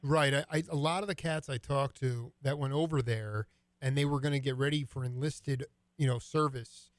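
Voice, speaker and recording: A man's voice: mid-range voice, normal speed, dry recording. A man hosting an interview, asking long, casual questions in a rambling way with jazz slang. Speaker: radio show host.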